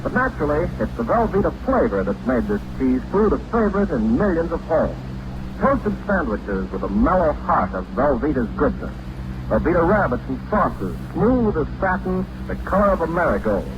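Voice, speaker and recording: A man's voice: a little high, very animated, moderate pace, muffled recording, background noise. A man announcing a scripted commercial in 1940s radio style, on an old recording.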